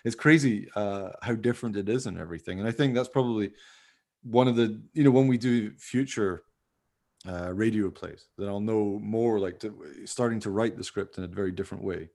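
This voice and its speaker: A man's voice: fairly low pitch, a little fast, a little mellow. A man in casual, informal conversation, talking in a rambling way as a podcast host. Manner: rambling, informal, often hedging with "you know". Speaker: podcast host, radio play writer. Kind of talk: casual chat.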